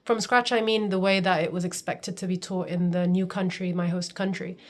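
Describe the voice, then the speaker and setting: A woman's voice: fairly low pitch, steady tone, brisk. A woman in her thirties, from Pakistan, talking earnestly and confidingly to camera as a homeschooling coach, often stressing her points with "literally". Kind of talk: personal talking-to-camera vlog.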